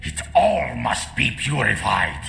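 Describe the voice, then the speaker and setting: An actor playing a fanatical vampire cult leader in a video game, preaching ominously. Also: male voice, medium pitch, wildly swooping pitch, slow pace, gravelly, sharp tone, echoey room, slight background noise, exaggerated voice. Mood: fanatical menace. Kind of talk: video game villain acting.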